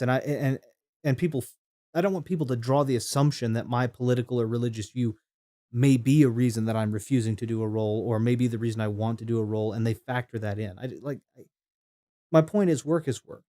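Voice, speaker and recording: A man's voice: medium pitch, a little fast, mellow. A man streaming a game, talking casually and rambling through opinions, with frequent restarts and chat comments read aloud.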